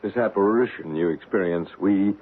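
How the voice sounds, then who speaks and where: An actor playing a calm, courteous paranormal investigator in a radio drama, speaking in a measured, reassuring, formal manner. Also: male voice, sits low, phone-quality sound, close to an everyday voice. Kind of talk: old-time radio drama acting. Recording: band-limited, noisy old radio recording.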